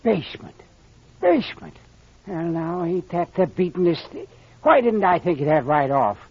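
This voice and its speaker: A folksy old mayor with a rural American drawl. His voice is high and husky, full of country exclamations. It comes through a dry, muffled old radio drama recording. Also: animated, normal speed, darkish tone, dry recording. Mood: astonished. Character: folksy old small-town mayor, captain's father.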